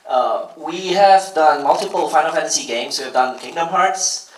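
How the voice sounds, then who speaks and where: A man's voice: a little high, normal speed, distant mic, heavy background noise. Malaysian man with Boston-tinged accent, roomy hall. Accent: Boston-tinged American. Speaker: music mix engineer presenting at a launch event. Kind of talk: stage presentation to an audience. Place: large event hall. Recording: echoey hall, voice from off-mic.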